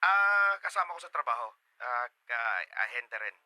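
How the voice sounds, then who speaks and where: An actor playing a secretive, sweet-talking boyfriend in a Tagalog radio drama, hesitant and placating. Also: male voice, medium pitch, big pitch swings, moderate pace, noisy background, close to an everyday voice. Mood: nervous, evasive. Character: evasive, secretive, sweet-talking boyfriend who lavishes gifts.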